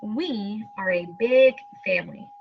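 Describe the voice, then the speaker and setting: A woman's voice: mid-range voice, measured pace, creaky, faint music behind. A woman teaching young pupils, reading aloud and giving reassuring instructions with frequent checking questions, on a slightly muffled recording. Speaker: elementary school teacher.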